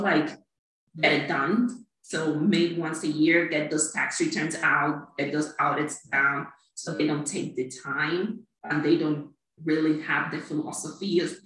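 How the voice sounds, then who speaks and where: Middle-aged woman, bright voice, chatty with fillers, echoey room far from mic. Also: sits low. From Cuba. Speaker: accountant guest. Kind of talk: conversational interview.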